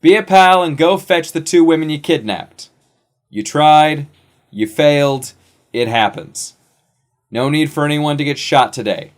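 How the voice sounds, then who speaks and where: Man doing a brash, high-pitched cocky gunslinger. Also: lively intonation, a little slow. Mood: cocky threat. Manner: brash, taunting. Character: defiant, foul-mouthed gunslinging male sidekick, cocky and threatening.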